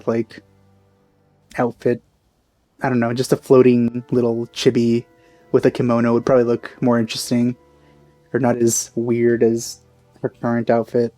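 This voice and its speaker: A man doing casual let's play commentary in rambling asides. His voice is dark and mellow, recorded dry and close, with faint game music underneath. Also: mid-range voice, no room sound.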